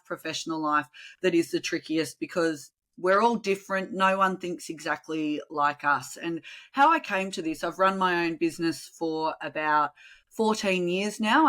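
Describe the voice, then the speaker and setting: Aussie woman guest on a podcast, bright voice, chatty and animated. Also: lowish voice. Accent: Australian. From Australia. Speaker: podcast guest, communication trainer. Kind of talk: casual interview chat.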